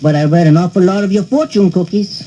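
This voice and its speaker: A man's voice: high-pitched, fast, warm tone, highs cut off. A man voicing a silly, naive male pilot sidekick in a cartoon, giddy and sing-song, mixing up his words.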